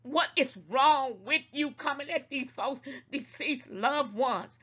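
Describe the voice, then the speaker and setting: A woman's voice: high voice, slow pace, pushed and bright. Scolding older woman, lo-fi sound. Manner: scolding, preachy, calls listeners baby. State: congested at times. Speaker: online talk show host. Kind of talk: casual commentary to viewers.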